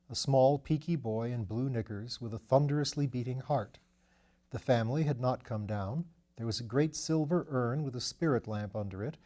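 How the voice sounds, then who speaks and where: A man's voice: medium pitch, mellow. A man reading a novel aloud as scripted literary narration. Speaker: audiobook reader.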